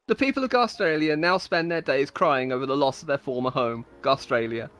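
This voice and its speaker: A woman's voice: sits low, brisk, fairly bright tone. A woman giving a playful, mock-solemn dramatic reading of her own comic stories, like a storyteller. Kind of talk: comedic dramatic reading of scripted tales.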